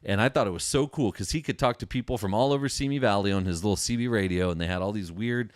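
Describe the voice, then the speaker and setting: A middle-aged American man with a low voice and a dry, close sound. He chats informally as a podcast host, enthusiastic and full of casual slang. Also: fast, dry recording. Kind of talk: casual solo livestream chat.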